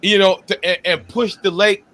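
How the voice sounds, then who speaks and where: A man co-hosting a casual sports podcast, talking bluntly and with animated, profane opinions. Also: sits high, moderate pace. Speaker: podcast co-host.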